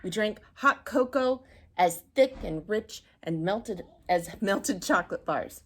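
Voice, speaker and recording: A woman reading a children's storybook aloud in an expressive narrating style. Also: mid-range voice, slow pace. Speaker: storybook reader.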